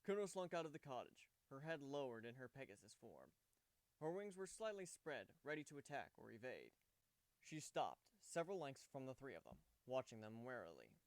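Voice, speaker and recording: Casting: male narrator for fantasy story readings, very expressive, clean smooth tone, dry close sound. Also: medium pitch, moderate pace, no room sound, low-quality recording. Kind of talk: dramatic fan fiction reading, scripted narration.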